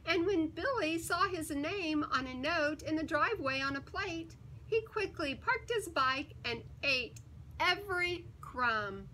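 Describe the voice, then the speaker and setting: A woman storyteller with a high, bright voice reads a picture book to young children. She takes her time, leaning on key words and pausing for dramatic effect. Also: lively intonation, a little hiss or hum, poor audio. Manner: theatrical, emphatic, with dramatic pauses. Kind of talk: expressive read-aloud for young children.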